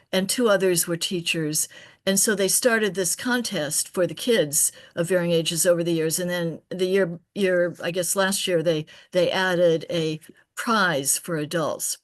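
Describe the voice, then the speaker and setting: Halting, rambling lower-voiced woman. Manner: halting, digressive, often restarting mid-phrase. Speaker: poet at an open reading. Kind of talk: casual, rambling anecdote.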